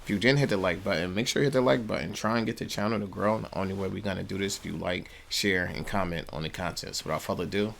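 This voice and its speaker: A man hosting a reaction channel, hyping his viewers and tossing in quick laughing asides. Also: low-pitched, gravelly, a bit bright, slight background noise. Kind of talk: casual reaction chat.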